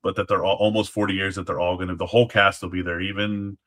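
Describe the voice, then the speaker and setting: A man's voice: low voice, little pitch movement, fast talker, a bit bright. A man speaking as a guest, telling stories in a casual, conversational way. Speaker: guest, former Alamo guard. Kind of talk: casual storytelling chat.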